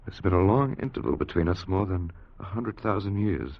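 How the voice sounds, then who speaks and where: An actor playing a bold, authoritative scientist and time traveller in an intense 1950s radio drama style. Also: male voice, low-pitched, expressive, a little fast, heavily acted. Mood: grave, assured. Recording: muffled, rough vintage radio recording.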